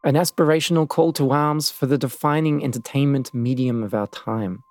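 A man hosting and narrating a scripted documentary in a measured, reflective way. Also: mid-range voice, steady tone, no echo. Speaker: podcast host and narrator.

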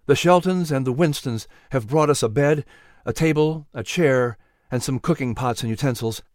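A man voicing a quiet, subdued young man in a storybook reading. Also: mid-range voice, normal speed, dry recording, studio quality, natural voice. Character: grieving, quiet young man rebuilding his home.

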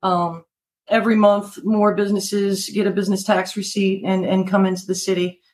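A woman's voice: mid-range voice, flat delivery, moderate pace, darkish tone. An American woman from Maryland speaking as an interview guest, a city manager talking conversationally and with upbeat conviction. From Maryland, United States.

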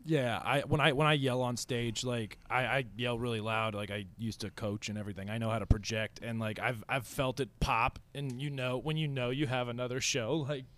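A comedian chatting casually, with lots of stammered restarts and quick agreement. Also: male voice, mid-range voice, steady tone, a bit bright. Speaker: comedian, co-host. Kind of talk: casual chat. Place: podcast studio.

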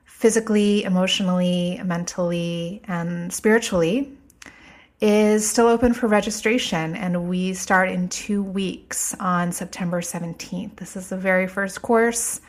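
A woman hosting a podcast, speaking a little stuffed up from a cold, earnest and slow on her emphatic phrases. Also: mid-range voice. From United States. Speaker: podcast host. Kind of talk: podcast intro monologue.

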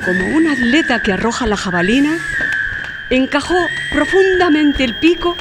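A woman's voice: sits high, moderate pace, heavy background noise. A woman narrator reading a scripted literary audio story. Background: music.

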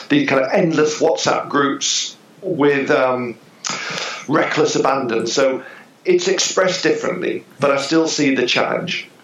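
A man's voice: mid-range voice, fairly bright tone, echoey room, muffled recording. A man speaking as a business psychologist guest, explaining his ideas thoughtfully with hesitations. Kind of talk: interview answers.

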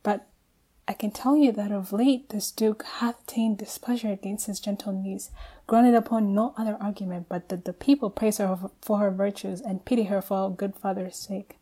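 A woman playing a grave, courteous courtier in a Shakespearean radio play, formal and measured. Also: mid-range voice, steady tone, mellow, close to an everyday voice. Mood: grave concern.

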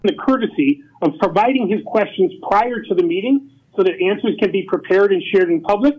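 A man speaking as a township supervisor at a public meeting, measured and formal. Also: high-pitched, animated, husky. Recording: dull lo-fi meeting recording.

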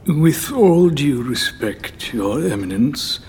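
A husky, formal old royal chamberlain. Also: male voice, medium pitch, sing-song, takes it slowly, a little mellow, full character voice. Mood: drily reproachful. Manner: deferential, formal, drily ironic. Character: dry, long-suffering old male Lord Chamberlain. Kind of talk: audio drama acting. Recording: long hall reverb.

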